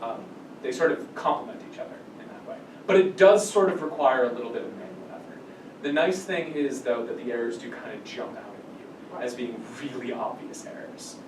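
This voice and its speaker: Man presenting informally, recorded from far off. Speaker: workshop presenter. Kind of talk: informal technical explanation to a small group. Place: small indoor meeting room. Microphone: far camera microphone. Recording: distant mic in echoey room, rumbly noise.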